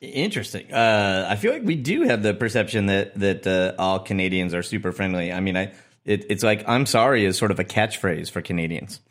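A man's voice: fairly low pitch, animated, a little fast, fairly bright tone. A man co-hosting a podcast interview, asking questions in a casual, joking and teasing way. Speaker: podcast co-host.

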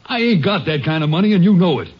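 Husky, stubborn Texas dirt farmer. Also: male voice, on the high side, lively intonation, fast talker. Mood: firm. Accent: American. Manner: folksy rural drawl. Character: stubborn, upright Texas dirt farmer, long-suffering brother. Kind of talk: 1950s western radio drama acting. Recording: muffled old radio broadcast.

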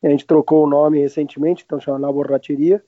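A man in his late thirties with a Brazilian accent talks casually as an interview guest. His voice sits a little high, and he speaks informally, often backtracking mid-sentence. The recording is dry and slightly muffled. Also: flat delivery, fast talker, no echo. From Argentina. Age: late 30s. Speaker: podcast guest, restaurant owner. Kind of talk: casual chat. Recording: roomless dry, slightly dull lo-fi sound.

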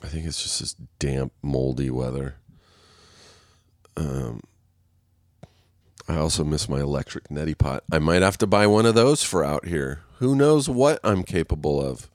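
A man with a very low voice, stuffy and nasal from congestion, recorded dry and close. He rambles through a casual solo podcast, swearing freely and laughing at his own stories. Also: animated, a little slow, long pauses, darkish tone, no room sound. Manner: rambling, profane, self-mocking, laughs often. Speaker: podcast host. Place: waiting on a set, recording alone.

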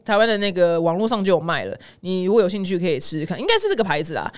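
Animated Taiwanese Mandarin woman podcast host. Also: fairly low pitch, very fast, dry recording. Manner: animated, dramatic exaggeration. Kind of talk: casual comedic news chat.

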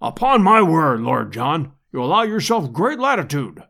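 A man's voice: fairly high pitch, big pitch swings, normal speed. A man voicing a pompous, easily offended professor in an adventure audiobook, with orotund, formal diction. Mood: indignant, haughty. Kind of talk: dramatised audiobook character reading.

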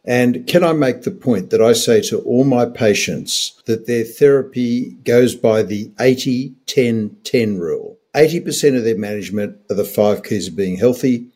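A man's voice: on the low side, darkish tone, husky. An older man in his sixties with an Australian accent, a doctor co-hosting a health podcast in an emphatic, opinionated way with wry humour.

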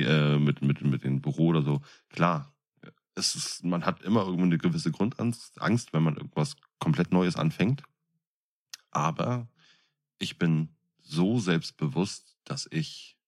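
A man's voice: extremely low pitch, moderate pace, a little mellow. A man co-hosting a podcast in casual chat, with a jokey, colloquial, buddy-like way of talking. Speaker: podcast co-host.

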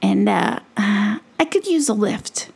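A woman's voice: medium pitch, expressive, takes it slowly, vocal fry, darkish tone, husky, full character voice. A woman voicing Brisbane, a small, self-important male warrior creature who talks in grand, old-fashioned heroic phrases. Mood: grandly boastful.

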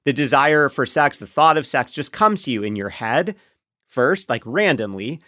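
Male podcast guest, medium pitch, candid and explanatory, measured delivery, dry close recording. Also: animated, sharp tone, no room sound. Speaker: podcast guest, co-author and partner of a sex therapist.

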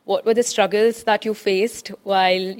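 A woman's voice: medium pitch, steady tone, a little slow. A woman in the audience asking a question, hesitant and full of "uh" and "you know". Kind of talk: question from the floor.

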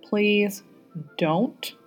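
Storybook male played by a woman: wry, exasperated, teasing, quiet music underneath. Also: mid-range voice, extremely slow. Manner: wry, pleading, joking. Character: exasperated, tipsy, teasing Canadian man. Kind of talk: audiobook character dialogue.

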